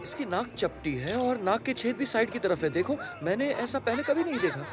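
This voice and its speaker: An eager young cartoon hero, an adventurer raised among monkeys. His delivery is lively and expressive, swinging up and down with curiosity. Music plays clearly behind him. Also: extremely high pitch, fairly quick. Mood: curious. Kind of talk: Hindi cartoon dubbing.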